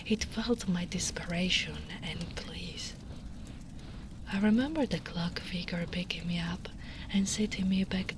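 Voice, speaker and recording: Woman playing a soft-spoken gentle big ogre. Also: fairly low pitch, noisy background. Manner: soft-spoken, half-whispered storytelling. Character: gentle big female ogre, tender and protective. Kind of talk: soft-spoken ASMR roleplay.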